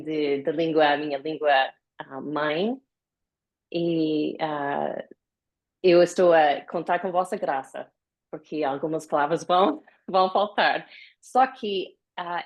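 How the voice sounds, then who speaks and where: A woman from the United States gives a live online talk in a language she learned later, pausing often with many fillers. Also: fairly low pitch, darkish tone. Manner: hesitant, with many fillers and pauses. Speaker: guest speaker. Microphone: video call.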